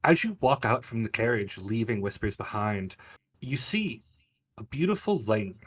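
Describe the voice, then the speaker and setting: A man's voice: lowish voice, sing-song, moderate pace, slightly rough. A man narrating a tabletop fantasy game as game master, setting scenes in a vivid, theatrical storyteller's way.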